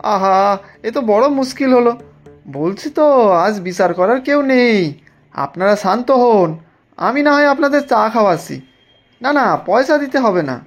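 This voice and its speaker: Cartoon tea-stall uncle played by a woman: humble, folksy, exclamatory, slightly high voice. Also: lively intonation, moderate pace, soft background music. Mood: flustered. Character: humble, flustered village tea-seller uncle. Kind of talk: animated cartoon dialogue.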